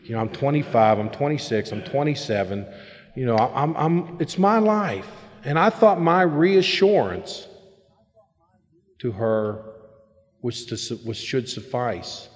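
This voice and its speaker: A middle-aged man shares his own story at a recovery meeting, plain-spoken and candid, now and then pressing a point with force. His voice sits at an ordinary pitch, and it carries the long reverb of a big meeting hall. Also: lively intonation, unhurried. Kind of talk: personal recovery talk to a meeting.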